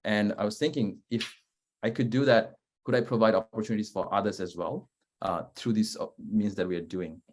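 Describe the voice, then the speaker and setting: A man's voice: fairly low pitch, fairly even intonation, brisk. A young man, a startup founder, answering on a webinar panel in an earnest way with many hesitations. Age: young adult. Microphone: video call.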